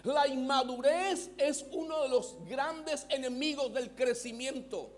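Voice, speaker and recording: A man's voice: very high voice, a little slow. A man with a Mexican Spanish accent, preaching a sermon as pastor and having the congregation repeat words after him.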